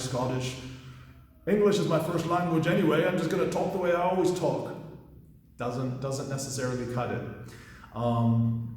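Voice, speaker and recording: A man in his thirties talks shop as a coach, easygoing and rambling, with plenty of fillers and false starts. His voice sits at an ordinary middle pitch. It rings through a very echoey space with a long reverb tail. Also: a little mellow. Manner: rambling, with many fillers and restarts. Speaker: magic coach and competitor. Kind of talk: casual commentary.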